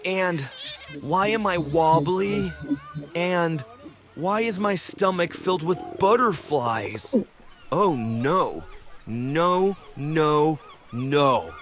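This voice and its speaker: Storybook cartoon bean, female reader: nervous but eager, hesitant, very animated, slow delivery. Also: low voice, soft background music, full character voice. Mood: panicked, nervous. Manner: theatrical, hesitant, exaggerated. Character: anxious, eager young cartoon bean working up courage. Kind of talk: animated children's read-aloud.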